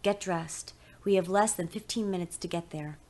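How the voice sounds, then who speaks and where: A woman voicing a devout, excited and determined wife in an audio story, speaking with insistent enthusiasm. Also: fairly low pitch, moderate pace, lightly acted.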